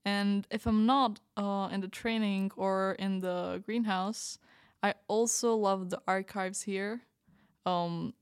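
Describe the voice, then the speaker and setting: A woman's voice: mid-range voice, dry recording, clean studio sound, close to an everyday voice. A woman playing an earnest young medical trainee in a fiction podcast, speaking a little hesitantly. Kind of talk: fiction podcast panel interview acting.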